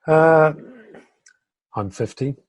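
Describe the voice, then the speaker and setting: A man in his fifties from the United Kingdom, a podcast guest and entrepreneur, speaking thoughtfully with pauses and fillers. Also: fairly high pitch, dry recording. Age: 50s. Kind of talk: conversational interview.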